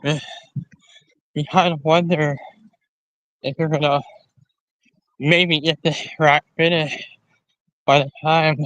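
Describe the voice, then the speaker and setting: A man hosting a casual livestream, talking to viewers in a rambling, halting way, often repeating and restarting his phrases. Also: fairly high pitch, flat delivery, fairly bright tone, dry recording, lo-fi sound. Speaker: live stream host.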